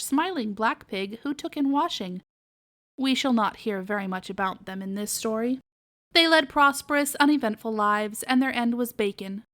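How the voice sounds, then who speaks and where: A woman's voice: on the high side, a bit bright. A woman narrating a children's storybook aloud as a podcast storyteller.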